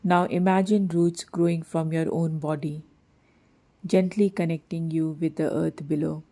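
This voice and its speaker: A woman narrating a scripted guided meditation in a soothing, gently measured manner. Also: low voice, steady tone.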